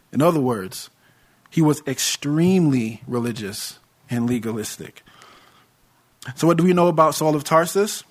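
A young American man preaching a sermon in a measured, earnest way, with touches of light humor. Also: a little high. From United States.

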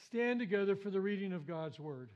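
A man's voice: high voice, expressive, fairly quick, darkish tone, highs cut off. A man preaching an Easter sermon as pastor, with a big voice, emphatic and repeating his phrases. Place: church sanctuary.

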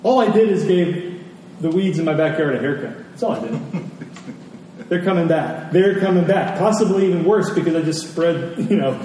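Male preacher, high and soft-edged tone, emphatic delivery, distant and very reverberant recording with faint noise. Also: moderate pace. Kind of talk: sermon with personal anecdotes and scripture reading. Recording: very echoey hall, distant mic, faint noise.

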